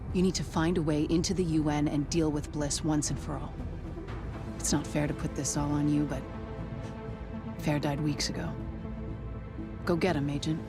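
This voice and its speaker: An actress as a tough, weary female agent in a video game cutscene. Her voice sits low, and she briefs with grim determination and a wry edge. Music plays behind her. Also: close to an everyday voice. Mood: resolute. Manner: grave, wry, urgent briefing.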